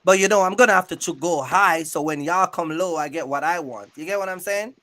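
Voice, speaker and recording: A man's voice: on the high side, a little fast, a bit bright. A man hosting a casual interview in a rambling, repetitive way, using streetwise slang and checking in often with his listener. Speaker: YouTube channel host interviewing a guest. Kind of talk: casual interview chat about trucking.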